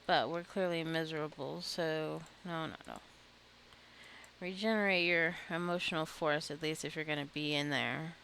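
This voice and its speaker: A woman giving relaxed, chatty commentary while playing a video game, talking to the game characters and laughing often. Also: low voice, moderate pace, gravelly, slight background noise. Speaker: gaming video creator. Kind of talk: casual gameplay commentary.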